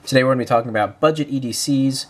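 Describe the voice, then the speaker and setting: A man's voice: mid-range voice, racing. A young man with a nasal, stuffy voice from a head cold, casually talking through a knife review with lots of fillers. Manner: relaxed, rambling, frequent uh and um fillers. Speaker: host of a knife review channel.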